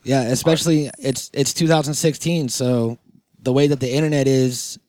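Male podcast speaker, relaxed dark tone, dry sound, casual, agreeable. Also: mid-range voice, normal speed, dry recording.

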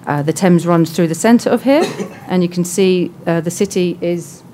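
A soft-spoken woman giving a measured conference presentation with frequent pauses. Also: on the low side, a little hiss or hum. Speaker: conference speaker. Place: conference hall.

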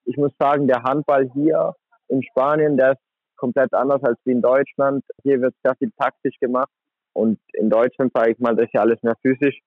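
Young male athlete guest, casual and chatty, mid pitch, heard over a thin phone line. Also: moderate pace, dry recording. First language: German. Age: 20s. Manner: relaxed, chatty, many fillers like "sag ich mal". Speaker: guest handball player. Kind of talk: casual interview.

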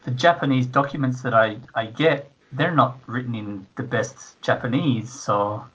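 A man working as a translator, explaining his work in a relaxed, casual interview. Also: medium pitch, normal speed, slightly rough, muffled recording.

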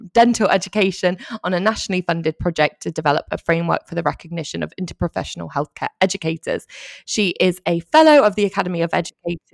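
A woman co-hosts a podcast, reading a guest's biography and then chatting with enthusiasm. Her voice is lowish and carries noticeable vocal fry. The sound is dry, with no room echo. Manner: enthusiastic, effusive praise. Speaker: podcast co-host. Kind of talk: reading a guest bio, then casual chat.

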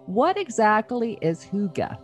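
Animated woman hosting a chatty interview. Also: mid-range voice, slow, faint music behind. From Canada. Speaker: show host.

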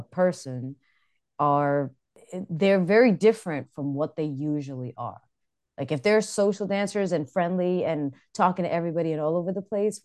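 Low-voiced chatty American woman. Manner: chatty, animated storytelling with frequent laughs. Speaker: podcast co-host. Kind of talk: casual chat.

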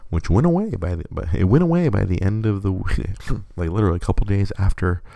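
A man hosting a casual live podcast while sick, with a congested voice and extra bass from his illness, chatting in a rambling, self-correcting way. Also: expressive, fast talker, vocal fry, mellow, no room sound. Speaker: podcast host.

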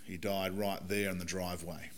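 A man in his forties, a pastor with a croaky, hoarse voice, giving a conversational and earnest sermon in a church. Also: sits low, little pitch movement, normal speed, slight background noise. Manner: conversational, earnest preaching with fillers. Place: church hall.

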